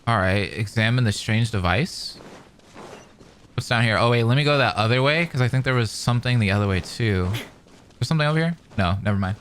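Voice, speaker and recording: A man giving casual, expressive live commentary as a streamer playing a video game, thinking aloud with exclamations. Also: medium pitch, a little fast, a little hiss or hum. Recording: game music behind the voice.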